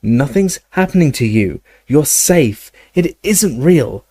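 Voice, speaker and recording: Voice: mid-range voice, lively intonation, slow, warm tone, breathy edge, no echo, voice pushed up. A storyteller voicing a desperate young male wizard, anxious and urgently coaxing, as in an audiobook.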